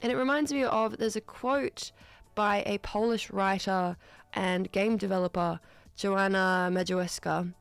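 Young Australian woman, radio host, chatty and upbeat, some vocal fry, dry studio sound. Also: medium pitch, steady tone, a little slow, no echo, faint music behind. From Newcastle, Australia. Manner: chatty and upbeat, with frequent ums and asides. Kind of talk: casual radio chat between tracks. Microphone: studio microphone.

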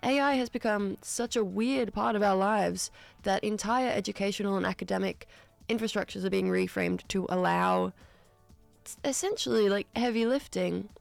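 A woman's voice: mid-range voice, moderate pace, gravelly, no room sound, faint music behind. A young Australian woman in her twenties from Newcastle, hosting a radio show in a studio, chatting casually and upbeat between tracks with frequent ums and asides. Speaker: radio host. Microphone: studio microphone.

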